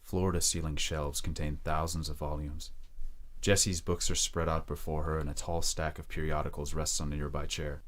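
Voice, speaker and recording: Very deep-voiced male drama narrator. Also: little pitch movement. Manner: measured, descriptive reading. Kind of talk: scripted audio drama narration.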